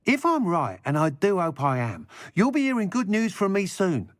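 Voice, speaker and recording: Effusive, high-voiced inventor for a video game; lively, dry studio read. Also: male voice, normal speed. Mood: grateful. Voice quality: enthusiastic, polite, effusive. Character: eager male broom inventor.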